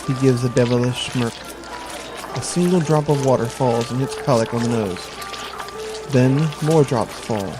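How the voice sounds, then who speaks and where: Male story narrator over loud music. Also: medium pitch, normal speed, poor audio.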